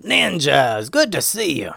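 An easygoing ninja master from a children's storybook, put on by a man. He talks in a folksy, casual drawl with lively pitch and a dry, close sound. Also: unhurried, creaky, no room sound, heavily acted. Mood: amused, puzzled.

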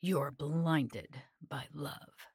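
A woman's voice: low-pitched, extremely slow, darkish tone, husky, no room sound, studio quality, pitched below the performer's own voice, heavily acted. A woman voicing a bitter, scornful male god who lectures curtly in a story. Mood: cold. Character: eyeless male god, cold bitter game-maker.

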